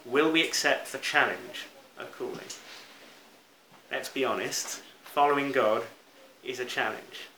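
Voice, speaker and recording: A man's voice: mid-range voice, a little slow, pushed and bright. A British man preaching a sermon in an earnest, reflective manner, stressing key words as he goes. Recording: roomy echo, faint background noise.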